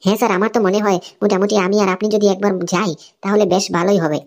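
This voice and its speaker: A woman playing a brisk, inquisitive underling to a boss in a comic cartoon. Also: medium pitch, steady tone, darkish tone, close to an everyday voice. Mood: plotting.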